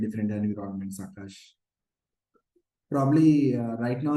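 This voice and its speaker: A hoarse man teaching a live online coding class, explaining patiently with many fillers like "okay" and "uh". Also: medium pitch, warm tone. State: hoarse from shouting. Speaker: programming instructor. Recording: roomy echo.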